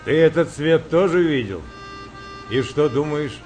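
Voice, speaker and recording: A man's voice: a little high, animated, unhurried, a little mellow. A man playing a superstitious, sociable wartime navy sailor in a Russian radio play. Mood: brooding. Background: music behind the voice.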